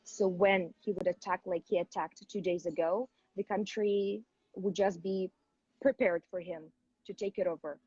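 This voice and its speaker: A woman's voice: on the low side, steady tone, moderate pace, a little mellow, breathy edge, dry recording, dull audio. A young woman from Ukraine, a native Ukrainian speaker, earnestly explaining things step by step as the guest of a live online interview. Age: young adult. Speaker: activist guest.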